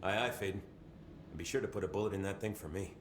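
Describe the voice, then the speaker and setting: A wry, worn-down security chief from a video game, played by a man. His voice is on the low side, with an informal sardonic edge. It echoes in the space over clear background ambience. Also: fairly even intonation, fast talker, noisy background, lightly acted. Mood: sardonic, resigned. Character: wry, weary male security chief under siege. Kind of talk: video game cutscene dialogue.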